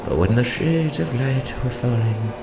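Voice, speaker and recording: A man reading an old fantasy tale as a dramatic audiobook, slowly and solemnly, in archaic literary prose. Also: medium pitch, creaky, very noisy. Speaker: audiobook reader. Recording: roomy echo, loud moody soundscape bed. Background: loud music and atmospheric soundscape.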